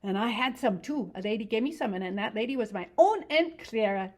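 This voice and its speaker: A woman's voice: fairly high pitch, lively intonation, fairly quick, pitched above the performer's own voice. A woman voicing a simple-minded big male farmhand who talks in a childlike, earnest way with rural grammar, in an audiobook reading. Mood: earnest wistful.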